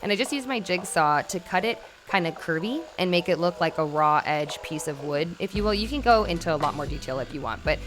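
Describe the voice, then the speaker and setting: A young woman vlogging a home makeover, chatting casually and talking to herself excitedly as she works. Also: fairly low pitch, a little fast, hardly any pauses. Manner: chatty and excitable, talks to herself while working. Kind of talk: casual vlog narration and self-talk. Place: room under renovation, indoors. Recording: echoey room, distant mic, music underneath.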